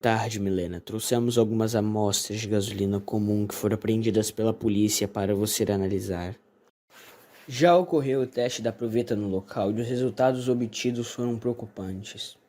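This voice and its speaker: A man's voice: fairly low pitch, normal speed, warm tone, lightly acted. A man with a Brazilian accent playing a serious male police officer in a scripted educational cartoon.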